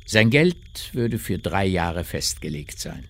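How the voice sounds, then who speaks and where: A man's voice: deep voice, big pitch swings, slow pace. A man narrating a literary radio drama from a script.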